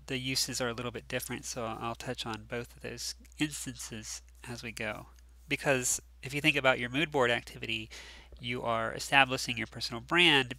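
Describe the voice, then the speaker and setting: A man's voice: medium pitch, creaky, pushed and bright, dry recording. A man teaching a recorded class lecture, sounding nasal and stuffed up from allergies, talking casually with lots of ums and restarts. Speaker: course instructor.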